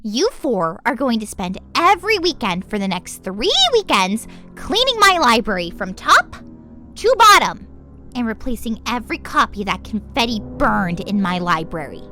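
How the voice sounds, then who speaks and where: A woman's voice: fairly high pitch, sing-song, moderate pace, bright, forceful tone, faint music behind. A woman playing a stern but loving cartoon pony mother, with a dry, incredulous, scolding manner. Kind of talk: animated fan audio drama acting.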